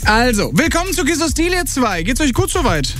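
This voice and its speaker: Animated high-pitched man hosting radio. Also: brisk. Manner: upbeat, informal, repeats words. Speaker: radio host. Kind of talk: live radio game-show hosting. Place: radio studio. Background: music bed.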